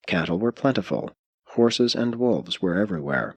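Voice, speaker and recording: A man reading a scripted audiobook narration in a measured, formal way with clearly phrased sentences. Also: lowish voice, big pitch swings, no echo. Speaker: audiobook reader.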